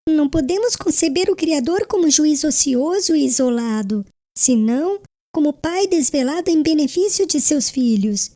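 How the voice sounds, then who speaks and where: A woman playing a gentle, devout, ailing young bride in a dramatized audiobook, speaking solemnly and tenderly. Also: high voice, lively intonation, normal speed. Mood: serene, earnest. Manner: solemn, tender, preachy formal delivery.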